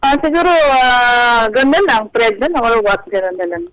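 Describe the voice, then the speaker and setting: A man's voice: very high voice, expressive, moderate pace, a bit bright, poor audio. A man with a Filipino accent calling in to a radio show, speaking politely and hesitantly as he confides. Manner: polite, deferential. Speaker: call-in guest.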